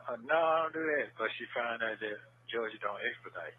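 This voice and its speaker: A man's voice: medium pitch, lively intonation, brisk. Man on a tinny, noisy jail phone line. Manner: slangy, repeats himself. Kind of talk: casual phone conversation. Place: recorded phone call from jail.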